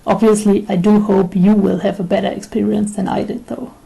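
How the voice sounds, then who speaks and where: A woman's voice: medium pitch, flat delivery, brisk, creaky, mellow, poor audio. A woman in her twenties from Germany, a native German speaker, talking in English in an earnest, reflective personal vlog. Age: 20s. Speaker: vlogger.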